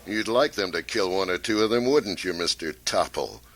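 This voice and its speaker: A man's voice: deep voice, lively intonation, moderate pace, fairly bright tone, a little hiss or hum. A man playing a cynical, accusatory panel guest, needling and insistent, in satirical radio theater. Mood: accusing.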